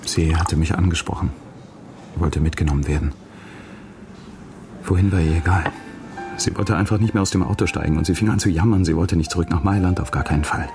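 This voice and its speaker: A man's voice: low voice, a little fast, long pauses, over music. A man acting a troubled, guilt-ridden young man in a radio crime drama, hesitant and repeating himself. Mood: troubled, confessing.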